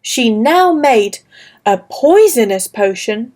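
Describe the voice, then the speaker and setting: A woman reading a fairy tale aloud for children, slow, clear and gentle. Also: on the high side, very animated, soft background music. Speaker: storyteller. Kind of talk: scripted children's story narration.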